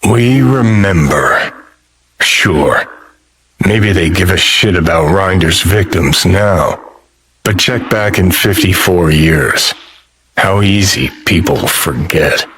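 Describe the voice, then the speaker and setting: A man's voice: deep voice, animated, takes it slowly, a bit bright, slight background noise, heavily acted, rough recording. A man voicing a cynical, foul-mouthed rockerboy ghost in a video game, with an American accent and a sardonic, world-weary drawl. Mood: cynical, jaded. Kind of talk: video game character dialogue.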